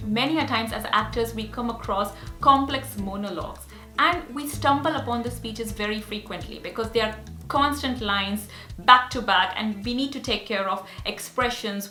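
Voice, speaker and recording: A woman's voice: medium pitch, sharp tone. A woman whose first language is Hindi, speaking English with a Hindi accent as she teaches speech exercises in an upbeat, earnest way. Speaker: actor and speech coach. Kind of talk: casual teaching vlog. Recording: echoey room with faint music.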